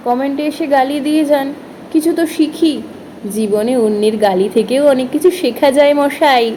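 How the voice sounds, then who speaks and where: A woman's voice: on the high side, dark tone, slight background noise, low-quality recording. A woman giving an informal reflective monologue, chatting expressively and addressing listeners directly with rhetorical questions. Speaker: spoken-word content creator.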